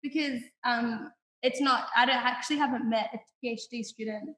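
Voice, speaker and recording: A young woman co-hosting a casual chat, animated and laughing, thinking aloud with plenty of fillers. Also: a little high, normal speed. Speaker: co-host. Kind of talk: casual chat playing a card game. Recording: echoey room.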